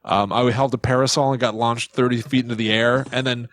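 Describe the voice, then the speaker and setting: A man's voice: mid-range voice, little pitch movement, brisk, fairly bright tone, no room sound, studio quality. A man co-hosting a podcast in casual conversation, with jokey, mock-dramatic asides. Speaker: podcast co-host.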